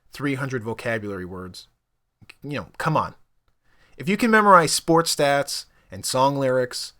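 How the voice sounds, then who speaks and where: A man's voice: mid-range voice, fairly bright tone. A young man whose first language is Spanish, talking casually to camera about learning languages, rambling with lots of fillers. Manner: conversational, rambling with frequent fillers. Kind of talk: casual opinion monologue.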